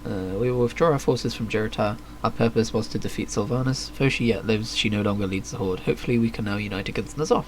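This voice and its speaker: A male game streamer with a slightly low voice, commenting casually and dryly as he plays. There is faint noise and game ambience behind him. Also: fast talker, hardly any pauses. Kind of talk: live game stream commentary, reading quest text aloud.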